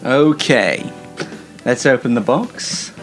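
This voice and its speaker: A man's voice: medium pitch, slow, loud music behind the voice. A man hosting an amateur radio show in a casual, rambling way, hesitant and wryly self-deprecating.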